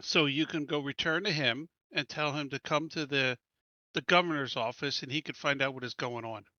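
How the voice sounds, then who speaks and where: A man playing in a tabletop game, speaking in character with quick, stammering restarts. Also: mid-range voice, fairly even intonation, sharp tone, no echo, muffled recording. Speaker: player. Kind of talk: tabletop roleplay, in-character speech.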